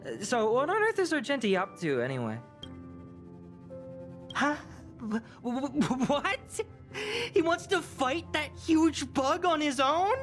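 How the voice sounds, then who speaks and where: A woman's voice: high voice, sing-song, takes it slowly, full character voice. A woman voicing a prickly, flustered young game heroine who secretly cares, huffy and stammering, with music behind. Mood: uneasy, puzzled. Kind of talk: animated video game voice acting.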